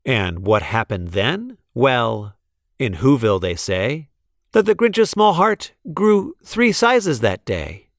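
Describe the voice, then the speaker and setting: Expressive, unhurried male storybook reader. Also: medium pitch, no room sound, studio quality. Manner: theatrical, deliberate. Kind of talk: expressive children's read-aloud of rhyming verse.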